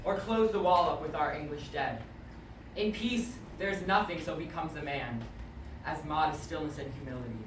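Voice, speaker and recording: A man's voice: fairly high pitch, moderate pace, close to an everyday voice. An actor playing a warrior king urging his soldiers into battle, declaiming a Shakespeare monologue in a commanding, projected delivery. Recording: distant mic, echoey, clearly noisy ambience.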